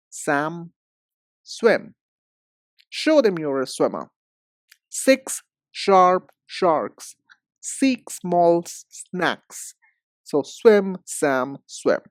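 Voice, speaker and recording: A male English teacher with an Indian accent speaks slowly and deliberately. He enunciates each sound with care, as in a repeat-after-me drill. The sound is dry and close, with little room to it. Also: high voice, no echo.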